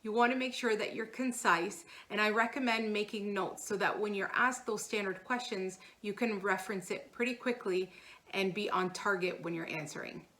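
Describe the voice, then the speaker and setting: A woman's voice: medium pitch, normal speed, a bit bright. A woman hosting as a career coach, giving scripted, instructional advice straight to the viewer. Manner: instructional, addresses viewer directly. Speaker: career coach and video host.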